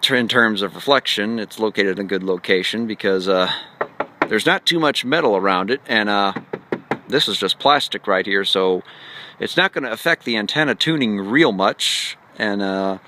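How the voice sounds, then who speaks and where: A man giving a casual hands-on walkthrough as a vlogger, speaking haltingly and often pausing mid-sentence. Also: fairly low pitch, bright, forceful tone, a little hiss or hum. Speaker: vlogger showing a radio install.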